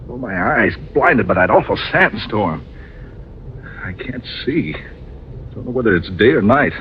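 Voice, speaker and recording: An actor playing a grumbling, gruff desert pilot for hire in a 1940s style radio drama, often muttering to himself. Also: male voice, fairly low pitch. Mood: dazed, fearful. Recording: scratchy, muffled old radio recording.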